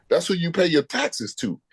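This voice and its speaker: A man in argues hard on a live stream, blunt and mocking, swearing as he goes. His pitch sits a little high and swings widely. The sound is dry and close. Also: huge pitch swings, unhurried, creaky, husky, dry recording. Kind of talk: heated argument.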